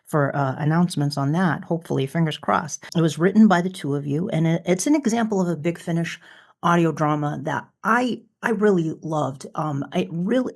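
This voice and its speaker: A woman hosting a podcast interview in a casual, enthusiastic style, with halting, rambling phrasing. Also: sits low, creaky, breathy edge. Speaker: podcast host.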